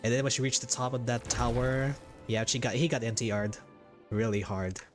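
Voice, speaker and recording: A man giving casual, jokey game playthrough commentary as a streamer, with drawn-out reactions. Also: medium pitch, fairly even intonation. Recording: game music behind the voice.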